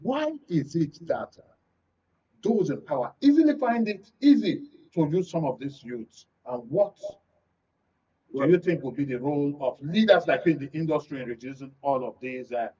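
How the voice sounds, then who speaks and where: A man's voice: on the high side, lively intonation, moderate pace, mellow, husky. A man with a Nigerian accent hosting a political discussion, putting questions to his guests. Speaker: host. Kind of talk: moderating a political radio and TV discussion. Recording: dull lo-fi sound, distant mic.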